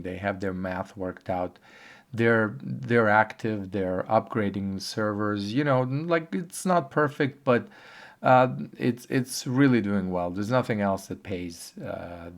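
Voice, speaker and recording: A hoarse man giving a casual, rambling video update, with many pauses and fillers. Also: fairly low pitch. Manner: halting, rambling, filler-heavy. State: hoarse from a cold. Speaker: online earnings vlogger. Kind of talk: casual talking-head update.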